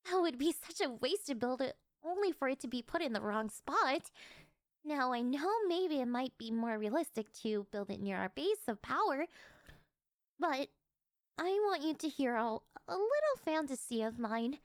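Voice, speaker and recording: A woman's voice: high voice, animated, normal speed, dry recording, studio quality. A woman playing an obsessive, worshipful young cleric who leads a cult, speaking intimately and fervently to one listener in an anime-style yandere ASMR roleplay. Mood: excited, fanatical.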